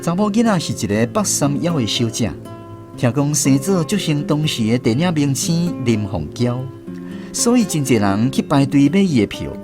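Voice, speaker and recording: A man's voice: medium pitch, sing-song, moderate pace, close to an everyday voice. A man with a Taiwanese accent playing a former serviceman who wryly narrates barracks memories in a radio drama. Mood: wry, reflective. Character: former army serviceman recounting barracks memories, wry storyteller. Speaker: narrator, a former soldier in the story. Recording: music bed behind the voice.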